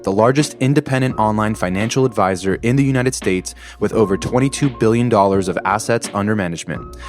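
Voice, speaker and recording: A man co-hosting a podcast, reading the intro and asking loose, rambling questions. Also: lowish voice. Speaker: podcast co-host.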